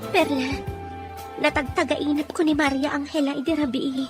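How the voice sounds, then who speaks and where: A woman's voice: high voice, flat delivery, moderate pace, over loud music, close to an everyday voice. A Filipino woman playing a tender, anxious wife and mother in an earnest audio drama. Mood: worried.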